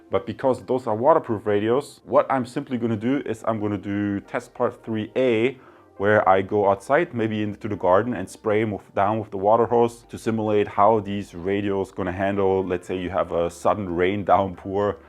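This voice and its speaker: A man with a fairly low voice hosts a casual product review. He chats informally and speaks straight to his viewers as he explains things. There is music in the recording behind his voice. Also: a little mellow. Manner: chatty, informal, addresses viewers directly. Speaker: product review video host.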